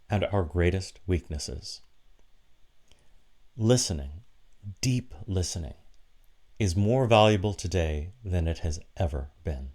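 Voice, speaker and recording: A man delivering a prepared, reflective sermon with measured, deliberate phrasing and short pauses for emphasis. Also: low-pitched, darkish tone. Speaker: preacher giving a sermon for an online church service.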